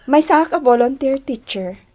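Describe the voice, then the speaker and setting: Young filipino volunteer teacher, radio drama, really high girlish voice, polite n sweet. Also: lively intonation, normal speed, warm tone, faint music behind. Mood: shy, polite. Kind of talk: radio drama acting in Ilocano.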